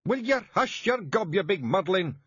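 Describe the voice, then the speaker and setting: A man's voice: medium pitch, expressive, normal speed, fairly bright tone, slightly rough, no echo, dull audio, pitched above the performer's own voice. A man voicing a proud, brooding tiny clan chief with a blustering manner, in an audiobook. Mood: angry. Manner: blustering, broad dialect.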